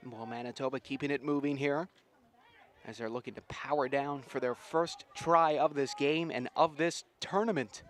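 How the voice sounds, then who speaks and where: A man's voice: mid-range voice, steady tone, moderate pace. A man calling live rugby play-by-play outdoors in an enthusiastic, folksy, slangy way. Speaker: play-by-play sports commentator. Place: outdoors at a sports field.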